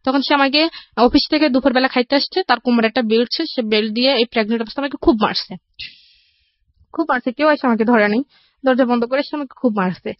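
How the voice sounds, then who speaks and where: A woman's voice: fairly high pitch, quick pace, no room sound, dull audio. A woman from rural Bangladesh with a Bangladeshi Bengali accent, telling her life story as an interview guest in a rambling, repetitive, conversational way.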